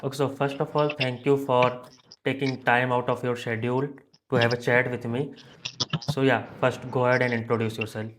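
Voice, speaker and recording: A man's voice: medium pitch, flat delivery, normal speed. A man hosting an interview podcast, putting prepared questions to his guest. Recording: noisy background with clicks.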